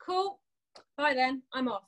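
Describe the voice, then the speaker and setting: A woman's voice: sits high, animated, measured pace, fairly bright tone. A woman acting a blunt, sarcastic elder sister who holds a grudge, in a naturalistic drama. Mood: sarcastic teasing. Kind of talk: naturalistic stage drama dialogue.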